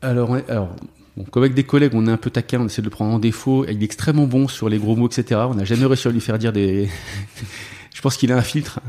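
A man whose first language is French, a software developer guest in a casual interview, talking with many fillers and restarts. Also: medium pitch, fast.

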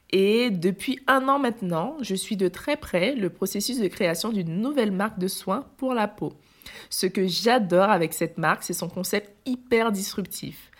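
A woman hosting a podcast, upbeat and eager as she introduces guests and asks questions. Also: mid-range voice. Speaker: podcast host. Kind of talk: podcast interview.

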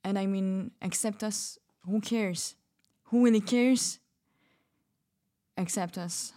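A woman's voice: medium pitch, takes it slowly, mellow, close to an everyday voice. A woman playing a shy, disillusioned writer in a fiction podcast, speaking hesitantly. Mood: wistful, hesitant. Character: shy, disillusioned writer who loves poetry. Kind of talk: fiction podcast roleplay as interview.

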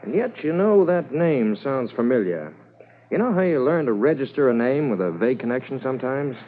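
Eager puzzled constable, very lively intonation, old radio drama, narrow tinny sound. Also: male voice, mid-range voice, a little fast. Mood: puzzled, musing. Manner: animated, musing. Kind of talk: 1950s radio drama acting. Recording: noisy, thin old radio recording.

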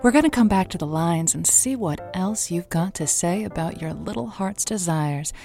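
A woman's voice: fairly low pitch, lively intonation, normal speed, vocal fry, dark tone, faint music behind. A woman playing a romantic, sympathetic radio advice host in a semi-improvised audio drama, speaking in an earnest, emphatic way. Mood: welcoming.

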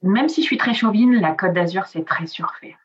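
A woman's voice: mid-range voice, normal speed, breathy edge. Woman from southern France, slightly dull sound. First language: Italian. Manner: chatty, frequent hesitations, trails off softly. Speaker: interviewee. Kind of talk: casual interview chat.

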